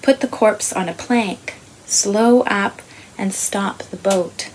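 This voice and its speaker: A middle-aged woman with a mid-pitched voice reads a true account aloud. Her delivery is slow and deliberate, set out phrase by phrase. She is recorded in a small room with faint noise behind her. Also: poor audio. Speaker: author reading aloud. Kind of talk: measured literary non-fiction reading. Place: small room with wooden beamed ceiling.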